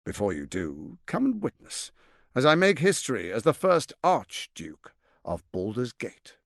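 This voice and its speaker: Scheming villain lord from a game, pompous n silky, pitch swings all over, gravelly edge, dry close recording. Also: male voice, dry recording, full character voice. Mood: proclaiming. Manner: pompous, silky, theatrical delivery with deliberate emphasis. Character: smooth-tongued, scheming tyrant lord, grandiose and condescending. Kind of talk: theatrical video game voice acting.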